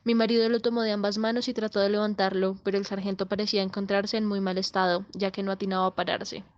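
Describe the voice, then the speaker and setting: A dull-sounding radio drama recording of a woman playing a formal, secretive lady of the house. She recounts things matter-of-factly and at a brisk clip. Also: medium pitch, flat delivery, slight background noise, natural voice. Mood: secretive, grave. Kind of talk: radio drama narrative monologue.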